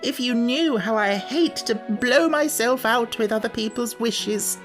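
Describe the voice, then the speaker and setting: A man voicing a cranky, put-upon little furry wish-granting creature from a storybook, with a shrill voice that cracks when worked up. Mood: tearful. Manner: indignant, complaining.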